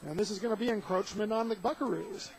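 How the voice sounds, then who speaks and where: High-pitched American male play-by-play announcer. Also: quick pace, creaky, a crowd in the background. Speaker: play-by-play commentator. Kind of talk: live radio football play-by-play. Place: outdoor football game. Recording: noisy outdoor stadium radio broadcast.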